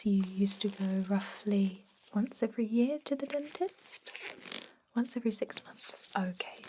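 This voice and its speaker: A woman playing a caring, centuries-old vampire dentist in a breathy, soothing whisper for an ASMR roleplay. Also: mid-range voice, background noise, natural voice. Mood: chatty, informative. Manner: soothing, gently chatty, unhurried.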